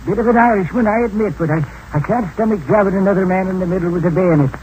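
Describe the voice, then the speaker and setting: An actor in 1940s radio acting style playing a mysterious, wise old hermit who talks in a folksy, drawling, philosophical way. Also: male voice, sits high, quick pace, a little mellow, close to an everyday voice, rough recording. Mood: genial. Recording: old radio broadcast with faint hiss.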